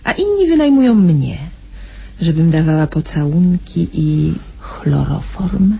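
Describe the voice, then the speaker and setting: A sly, murderous nurse, muffled recording. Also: female voice, low-pitched, big pitch swings, a little slow, gravelly, slightly rough, heavily acted. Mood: coolly menacing. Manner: mock-sweet endearments, sly, insinuating. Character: cunning, cold-blooded woman nurse, blackmailer and paid killer. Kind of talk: radio play acting. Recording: muffled, noisy old radio play recording.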